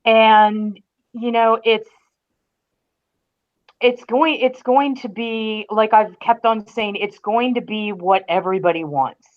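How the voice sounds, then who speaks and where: A woman's voice: a little high, takes it slowly. Enthusiastic woman, slightly dull lo-fi audio. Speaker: podcast guest, entertainment writer. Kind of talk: casual chat.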